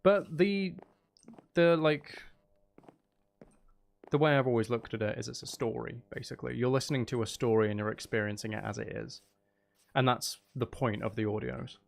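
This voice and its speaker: A man with a gravelly edge, chatting casually to viewers as he plays a game, rambling and trailing off as he thinks aloud. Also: medium pitch, normal speed. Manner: rambling, trailing off, muttering to himself. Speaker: streamer and audio creator chatting with viewers. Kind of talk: casual livestream gameplay chat.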